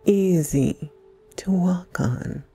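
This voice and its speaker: A woman hosting a guided meditation, speaking in soothing, deliberate phrases with long pauses and a breathy softness. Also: lowish voice. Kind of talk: slow guided meditation narration.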